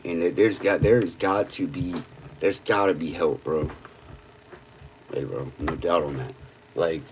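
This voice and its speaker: A man's voice: sits low, fairly even intonation, unhurried, slight background noise, poor audio. Blunt streetwise man in his thirties. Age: 30s. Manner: blunt, streetwise, peppered with "bro". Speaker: livestreamer. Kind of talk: casual livestream chat.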